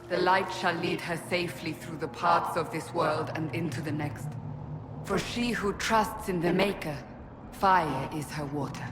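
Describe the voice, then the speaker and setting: A woman's voice: on the low side, unhurried, in a large hall, background noise, close to an everyday voice. A woman playing a devout, grief-stricken captive warrior in a fantasy game, solemn and intense. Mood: prayerful. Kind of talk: dramatic fantasy game dialogue.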